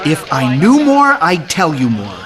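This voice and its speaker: A man's voice: a little high, wildly swooping pitch, unhurried, loud music behind the voice. Defiant, swooping-voiced male reporter. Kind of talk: old-time radio drama acting.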